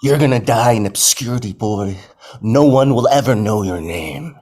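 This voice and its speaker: A gloating villain of a film director, acted by a man in a screenplay read. He speaks in a mid-pitched voice, threatening and condescending. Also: expressive, moderate pace, darkish tone, close to an everyday voice. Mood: gloating menace. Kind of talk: screenplay table read acting.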